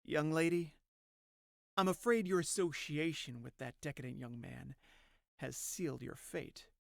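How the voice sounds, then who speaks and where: A man's voice: a little high, steady tone, unhurried, vocal fry, no room sound. A sinister masked villain played by a man reading a story, sadistic and gloating. He speaks in formal, mocking phrases with theatrical menace, in a dry, close sound. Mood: coldly menacing.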